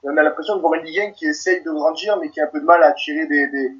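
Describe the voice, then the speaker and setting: A man's voice: a little high, fairly even intonation, racing, a bit bright, highs cut off. A man hosting a football podcast, asking a long, hesitant question with repeated words.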